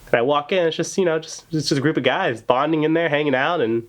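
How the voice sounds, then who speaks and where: A man's voice: on the high side, quick pace. Young man hosting a casual podcast. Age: young adult. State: recovering from a cold. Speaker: podcast host. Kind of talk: casual chat.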